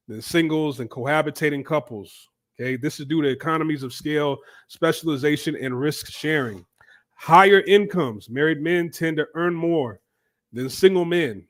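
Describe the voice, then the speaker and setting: Guy with a cold, kinda stuffy, higher voice, talking loose and off the cuff w lots of ums. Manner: loose, repetitive, thinking aloud with fillers. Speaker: commentary channel host. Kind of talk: casual commentary.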